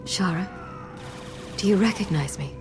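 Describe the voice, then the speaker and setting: Game casting: ruthless imperial agent, controlled, insistent, lowish female voice with reverb tail and soft music. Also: unhurried, creaky. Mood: urgent, earnest. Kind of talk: scripted video game acting.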